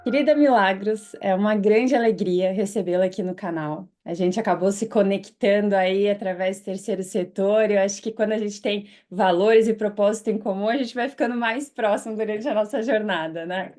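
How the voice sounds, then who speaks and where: A woman hosting an interview channel, upbeat and effusive as she introduces her guest. Her voice sits at a middle pitch, and her phrasing is polished and inspirational. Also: moderate pace, few pauses, darkish tone.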